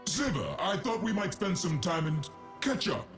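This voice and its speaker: A man's voice: high voice, sing-song, normal speed, a bit bright, full character voice. A man playing a proud ancient emperor in a video game, formal and grand, fond of his descendant in an awkward way. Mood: awkwardly fond. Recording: echoing voice over background music.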